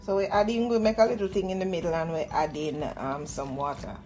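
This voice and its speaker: A middle-aged woman with a Jamaican accent gives a casual cooking demonstration, explaining each step and pausing to find words. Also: lowish voice, steady tone. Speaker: home cook demonstrating a recipe. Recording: music playing behind the voice. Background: music.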